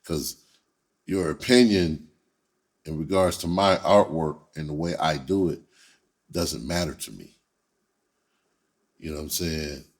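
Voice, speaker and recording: A man's voice: a bass voice, a little slow, pauses often. A man talking casually and candidly in a blunt, unfiltered, profane manner. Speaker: entertainer, rapper and writer.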